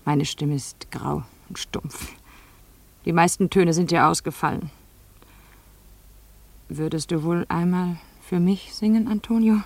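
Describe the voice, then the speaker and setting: An actress playing a wealthy, weary woman in a German radio play, speaking in hushed, wistful questions that slip toward whispers. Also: on the low side, steady tone, a little slow, pauses often, no echo. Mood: wistful yearning. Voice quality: hushed, breathy, slipping into whispers. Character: wealthy, weary, yearning divorced woman, Mrs. Brown.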